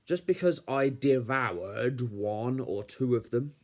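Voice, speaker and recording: A man's voice: medium pitch, takes it slowly. Man as a snarling posh big bad wolf uncle. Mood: grumpy, menacing. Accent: posh British. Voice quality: snarling, growly. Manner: pompous, menacing. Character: fierce, starving, posh old uncle wolf villain. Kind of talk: storybook character acting.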